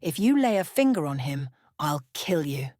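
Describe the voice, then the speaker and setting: A woman voicing a young female vampire in a bedtime story, speaking in a calm, even and threatening way. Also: sits low, normal speed, no echo, clean studio sound. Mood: menacing. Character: young female vampire, cool, menacing, unflinching.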